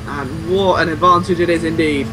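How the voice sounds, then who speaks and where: A man giving excitable, exclamatory live play-by-play commentary on a motor race. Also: fairly high pitch, moderate pace, over music, rough recording. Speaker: sim racing race commentator. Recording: clear car engine noise behind. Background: racing car engines.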